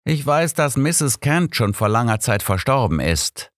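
An old master detective, voiced by a male narrator in a mystery audiobook, shrewd and insistent. He speaks with emphatic, deliberate stress, pitched up from narration, in a dry recording. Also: sing-song, a bit bright, no echo. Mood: pondering.

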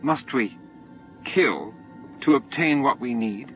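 A man's voice: medium pitch, slow pace, voice pushed up, lightly acted. A man voicing a reluctant, pained alien council member, hesitant and formally pleading, in an audiobook. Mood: anxious, pleading. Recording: dull lo-fi tape audio with music.

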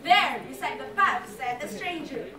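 A woman's voice: high voice, lively intonation, moderate pace, over a noisy crowd. A young woman reading story narration dramatically as a student in readers theatre. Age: young adult. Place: large lecture hall, far camera in audience. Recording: echoey lecture hall from far, loud audience.

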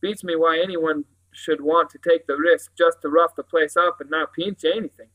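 A folksy village police sergeant of middle age, acted out by a male reader. He speaks up with confidence in a raised pitch, in plain clipped phrases, as in a storybook. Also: steady tone, moderate pace, fairly bright tone, close to an everyday voice, poor audio. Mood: chatty. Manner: folksy, confident, drops words. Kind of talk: audiobook character voice.

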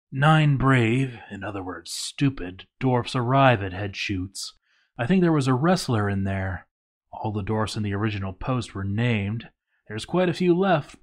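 A man narrating a written game playthrough log in a dramatized read-aloud style, theatrical and full of deliberate pauses. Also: mid-range voice.

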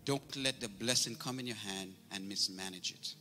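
A middle-aged man born in Trinidad giving a memorial tribute at a church service, speaking into a handheld mic, telling warm stories. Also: on the low side, normal speed, faint music behind. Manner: warm storytelling, grows animated and laughing. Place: large church sanctuary. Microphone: handheld microphone. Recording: reverberant church hall, faint noise.

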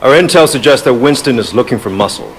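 A man's voice: mid-range voice, animated, fast, slight background noise, close to an everyday voice. An actor playing a serious, skeptical male police handler in a video game, speaking in an earnest, formal, insistent way. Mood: serious, briefing. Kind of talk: video game cutscene acting.

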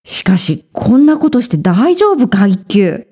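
A woman narrating a children's folktale in a measured, clearly phrased scripted style. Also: on the high side, big pitch swings, mellow. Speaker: storyteller.

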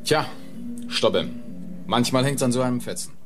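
A man plays a dry, probing police officer in a radio crime drama. The voice sits at an average male pitch, with clear music behind it. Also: moderate pace, lightly acted.

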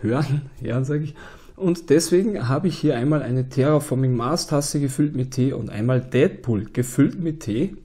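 A man's voice: mid-range voice, normal speed, slightly rough. A man in his thirties with an Austrian accent and a cold, chatting to camera as a board game channel host in a small room at home. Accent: Austrian German. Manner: relaxed and chatty, with many filler "ja"s and "ähm"s. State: has a cold. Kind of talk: casual talk to camera.

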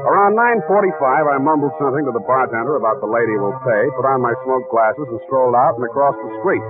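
A hardboiled private eye, played by a man, wisecracking and dry, with a mid-pitched voice. It is 1940s radio acting, heard on a thin, band-limited old broadcast. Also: fast, phone-quality sound, music in the background, natural voice. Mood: wry narration. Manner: dry, sardonic.

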